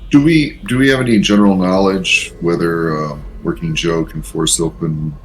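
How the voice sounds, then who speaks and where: A man with a deep voice talks casually as a player at a tabletop role-playing game. There is faint noise in the background. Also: steady tone, normal speed, creaky, poor audio.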